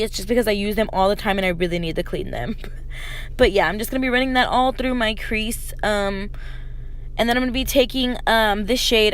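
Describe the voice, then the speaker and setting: A young woman with a slightly raspy voice, chatting informally to viewers through a casual makeup tutorial. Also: mid-range voice. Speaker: beauty vlogger. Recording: noticeable background noise.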